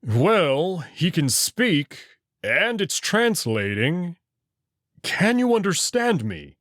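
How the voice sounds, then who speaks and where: Guy doing a huge alien wolf man from a visual novel, halting n exasperated, slow, pitch all over. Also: very animated, a bit bright, no room sound, studio quality, exaggerated voice. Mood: testing, relieved. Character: massive male alien wolf, expectant, easily exasperated.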